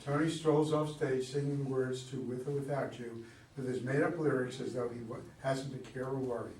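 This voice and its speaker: A man's voice: mid-range voice, steady tone, a little fast, a little mellow. A man reading stage directions as narrator of a scripted play. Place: indoor room at a live reading. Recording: echoey room, distant mic, faint noise.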